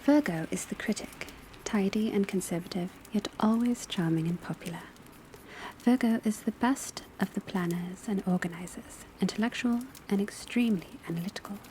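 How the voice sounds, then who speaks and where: A woman in a scripted ASMR classroom roleplay, speaking in a soft, breathy whisper as a measured, precise witch-school teacher. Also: medium pitch. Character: calm female witch-school divination professor. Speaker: ASMR performer playing a divination class teacher. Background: crackling fireplace, rain on rooftop, pencil writing.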